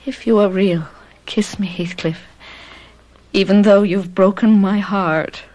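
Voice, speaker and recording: An actress plays a dying, willful young wife from a 1970s radio drama. Her voice is mid-pitched and frail, full of melodramatic heartbreak. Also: steady tone, slow, darkish tone, natural voice, poor audio. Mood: yearning, reproachful.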